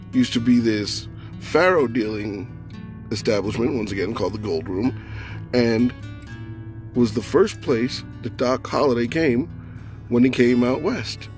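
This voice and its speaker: A man is losing his voice as he films a casual travel vlog on windy town streets, talking in a chatty, wry way. He speaks into a handheld camera while guitar music plays clearly underneath. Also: medium pitch. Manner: chatty, wry, self-narrating. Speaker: vlogger.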